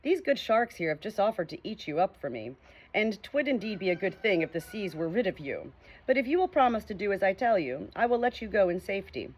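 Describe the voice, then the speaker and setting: A kindly animal doctor from a storybook, polite and even-tempered, speaking in a mild, measured way, as a woman reader puts him on. Calm and courteous. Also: medium pitch, slightly rough, rough recording.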